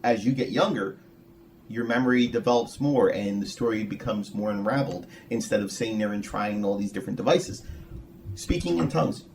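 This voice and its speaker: A man's voice: lowish voice, a little fast, darkish tone. A man hosting a casual interview, speaking in a wordy, rambling way and restating his points as he goes. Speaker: interviewer, podcast host. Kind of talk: casual, admiring interview talk. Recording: noisy room recording.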